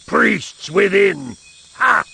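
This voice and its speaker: Slow, gravelly, foreboding temple gatekeeper. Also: male voice, sits high, animated, sharp tone, slight background noise, full character voice. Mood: scornful. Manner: theatrical, slow, drawn-out warnings. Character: eccentric male temple gatekeeper, scornful, foreboding. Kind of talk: video game cutscene acting.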